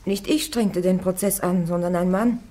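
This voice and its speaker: Actress as young defiant warrior woman, devout, stubborn, plain-spoken, slightly low voice, radio play. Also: steady tone, normal speed, mellow, natural voice. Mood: plain, firm. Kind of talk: German radio play acting.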